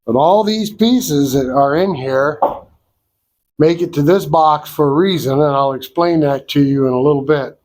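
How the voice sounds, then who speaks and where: A man hosting a sawmill vlog, congested from a cold, explaining things in a slow, folksy, down-to-earth way. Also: on the high side, a little mellow. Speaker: sawmill owner and channel host. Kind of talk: casual outdoor vlog walkthrough. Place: wood shed at a sawmill.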